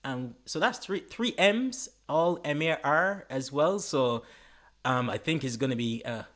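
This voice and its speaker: A man's voice: on the high side, expressive, unhurried, a bit bright. A man with a Caribbean English accent hosting a casual online conversation, joking and laughing easily. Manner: laughs often, easygoing and joking. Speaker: host. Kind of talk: casual live-stream chat.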